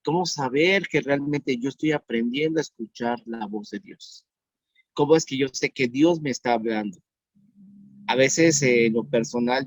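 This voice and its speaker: A man's voice: mid-range voice, no echo. Earnest pastor in his fifties, dull recording. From Mexico. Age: 50s. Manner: earnest, repeats questions slowly for emphasis. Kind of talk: online sermon. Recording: dull dry sound.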